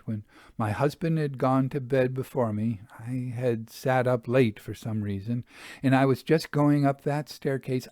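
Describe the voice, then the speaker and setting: A man's voice: mid-range voice, dark tone, dry recording, studio quality. A man voicing a nervous farmer's wife in a storybook reading, earnest and emphatic as she tells of her haunted house.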